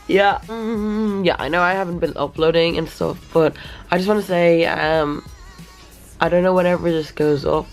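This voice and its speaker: A hoarse young woman chatting casually in a vlog, rambling with lots of fillers, filmed outdoors on a handheld camera. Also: low voice. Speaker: vlogger. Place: outdoors on a sunny day. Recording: background music playing under voice.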